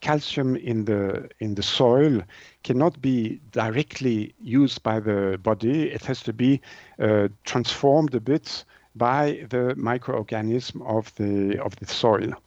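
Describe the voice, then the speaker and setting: A man from Switzerland, a native French speaker, explaining things as an interview guest in halting English, searching for words. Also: mid-range voice, darkish tone, no room sound, highs cut off. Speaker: naturopath and author, interview guest.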